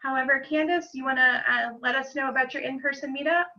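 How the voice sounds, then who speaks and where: Bright-voiced woman hosting a video call. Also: high-pitched, fairly even intonation, fast talker, muffled recording. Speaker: meetup group host. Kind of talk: hosting a virtual meetup.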